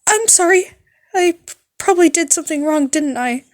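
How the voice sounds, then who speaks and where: Fanfic reading: woman as a nervous teen nurse girl, high-pitched, meek, forever apologising. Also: lively intonation, normal speed. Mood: anxious, apologetic. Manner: hesitant, meek, stammering apologies. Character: shy, anxious, apologetic teenage girl nurse.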